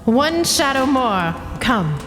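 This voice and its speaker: Solemn woman spirit in deep reverb acted. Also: big pitch swings, slow, a bit bright, heavily acted. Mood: solemn, insistent. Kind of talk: radio play acting. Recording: echoey long reverb, faint music.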